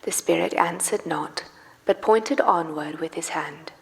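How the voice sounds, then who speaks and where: A woman as the solemn storyteller of a live radio play, narrating in a measured, suspenseful and theatrical way. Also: lowish voice, gravelly, big-room sound, poor audio. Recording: music under live stage narration.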